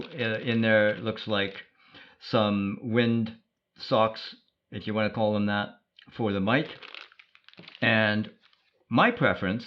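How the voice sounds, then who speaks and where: A man explains a product in a measured, matter-of-fact way, as a reviewer thinking aloud. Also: lowish voice, muffled recording.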